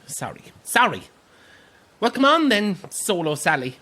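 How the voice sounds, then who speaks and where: An actor with an Irish accent playing a brash, sarcastic coach tour guide in an audio drama, theatrical and teasing. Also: male voice, sits high, big pitch swings, a little slow, sharp tone, exaggerated voice. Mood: exasperated.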